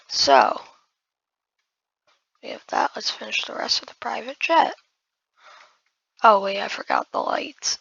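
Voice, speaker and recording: A hoarse woman who has just woken up, giving casual gameplay commentary while building and often muttering in half-whispers to herself. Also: fairly high pitch, fairly bright tone, dry recording, dull audio. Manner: muttering half-whispered asides to self, addressing viewers as guys. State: hoarse, losing voice after just waking up. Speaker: gaming video creator.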